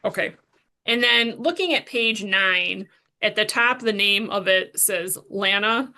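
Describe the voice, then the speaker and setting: A woman's voice: mid-range voice, a little slow, pushed and bright. A woman working as a prosecuting attorney, questioning a witness formally and step by step in court.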